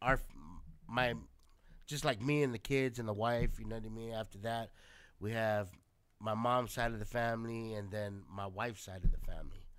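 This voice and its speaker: A man's voice: fairly low pitch, fairly even intonation, moderate pace, clean tone. A man with a chest cold chatting casually on a podcast, laid-back and swearing a lot. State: congested. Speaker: podcast co-host. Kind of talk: casual chat.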